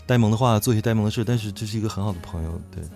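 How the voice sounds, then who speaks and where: A man with a fairly low voice talks quickly over background music. He chats casually as a guest, hesitating and repeating little words of agreement. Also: warm tone. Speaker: voice actor guest. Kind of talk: casual interview chat. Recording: music behind the voice.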